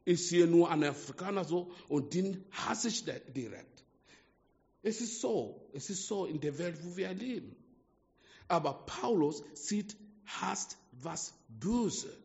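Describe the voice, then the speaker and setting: Man, non-native German accent, emphatic sermon, unhurried delivery, lo-fi reverberant hall. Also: high-pitched, very animated, creaky. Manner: slow, emphatic preaching with swings between hushed and loud. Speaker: preacher. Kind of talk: sermon to a small congregation. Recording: dull lo-fi sound with long hall reverb.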